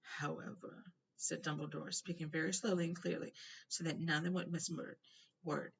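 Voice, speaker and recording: A woman reading a storybook aloud in an expressive narrating style. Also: sits low, a little fast, creaky. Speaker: storybook reader. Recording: noisy, dull home recording.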